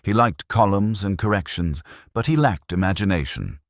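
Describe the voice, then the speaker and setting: Man playing a pompous, very deep-voiced councillor. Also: animated, moderate pace, no echo, clean studio sound. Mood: pompous, aggrieved. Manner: oratorical, as if addressing posterity. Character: pompous, self-important male town councillor.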